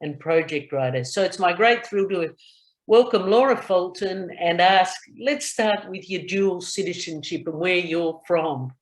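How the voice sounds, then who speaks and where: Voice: medium pitch, measured pace. An interviewer asking friendly, encouraging questions in a conversational interview. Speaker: interviewer for a society. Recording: roomy echo.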